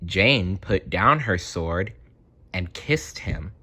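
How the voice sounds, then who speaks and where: A man tells a children's story at an unhurried pace. His voice sits a little low with a bright, forceful edge, and his expressive reading moves through a wide range of pitch. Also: slightly rough. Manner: expressive, dramatic. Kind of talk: children's storybook read-aloud.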